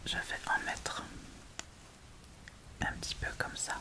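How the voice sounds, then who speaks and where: A woman speaks in a soft, breathy whisper, casually chatting and thinking aloud through an ASMR tutorial close to the mic. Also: slow. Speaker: ASMR video host.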